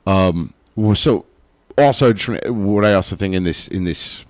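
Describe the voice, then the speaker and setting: Blunt, low-voiced man co-hosting a podcast. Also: normal speed, no room sound. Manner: blunt, emphatic, swears freely. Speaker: podcast co-host. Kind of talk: casual chat.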